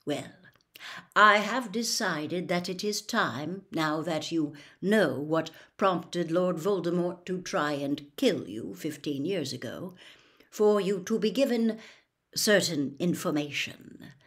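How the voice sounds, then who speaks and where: A placid old male wizard headmaster from a storybook, read by a woman. He speaks in a measured, courteous, businesslike way and takes his time over weighty phrases. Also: on the low side, fairly bright tone. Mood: calm, measured. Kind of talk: storybook character reading.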